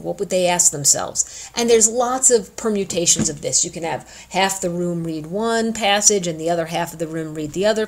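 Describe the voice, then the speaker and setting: A woman giving an informal instructional talk as a teacher, conversational and emphatic, stressing key words deliberately. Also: medium pitch. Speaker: education instructor addressing student teachers.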